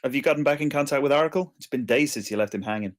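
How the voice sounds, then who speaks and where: A man's voice: medium pitch, very fast, natural voice. A man playing an exasperated, teasing young friend in a screenplay read, talking with sarcasm.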